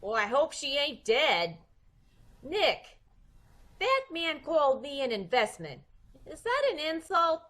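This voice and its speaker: A woman's voice: sits high, very animated, slow pace, pauses often, fairly bright tone, exaggerated voice. Woman playing a swooping, naive, brassy dame. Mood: curious, naive. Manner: slangy, guileless. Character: naive, brassy good-hearted dame in a detective comedy. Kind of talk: old-time radio comedy-mystery acting.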